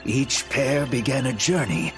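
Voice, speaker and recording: Man, scripted epic game narration, slow pace, expressive range, solemn, prominent background music. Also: medium pitch. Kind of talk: scripted dramatic storytelling narration for a video game. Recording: music behind the voice.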